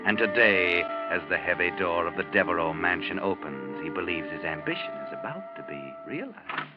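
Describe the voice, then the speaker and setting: Deep-voiced, sinister storyteller. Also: male voice, animated. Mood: sardonic, foreboding. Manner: ominous, theatrical, deliberate. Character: sinister, knowing male night-walking storyteller. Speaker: narrator. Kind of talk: 1940s radio scripted narration. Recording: dull old radio recording with music. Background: loud dramatic music.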